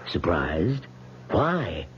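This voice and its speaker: An actor playing a wealthy elderly gentleman in an old radio drama, brisk, theatrical and coolly persuasive in clipped, assured phrases. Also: low-pitched, big pitch swings, exaggerated voice. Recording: muffled 1970s radio broadcast, noise, hum.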